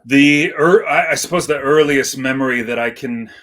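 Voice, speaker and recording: A man's voice: mid-range voice, normal speed, fairly bright tone. A middle-aged man from Iceland, a guest DJ in a casual interview, talking in a laid-back, modest way with many fillers and restarts.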